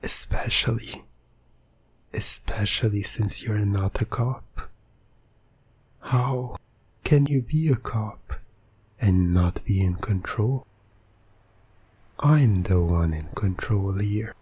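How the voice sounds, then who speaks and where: Male ASMR hypnotist: low-pitched, unhurried to the extreme, seductive and controlling, hushed delivery edging into whispers. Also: breathy edge. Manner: slow, commanding, hushed, often slipping toward whispering. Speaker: roleplay performer. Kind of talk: ASMR hypnosis roleplay, scripted, intimate.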